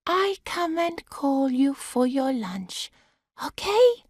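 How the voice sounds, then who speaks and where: A woman voicing a polite young female carer who speaks halting, broken English and apologizes often. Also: sits high, very animated, no room sound, studio quality, pitched above the performer's own voice, exaggerated voice. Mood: soothing.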